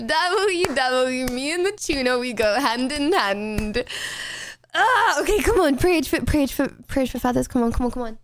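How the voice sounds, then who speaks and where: A young British woman streaming a game, chatting casually with her chat and breaking into playful, excited exclamations. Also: high-pitched, a little slow, no echo. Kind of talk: casual gameplay commentary to chat.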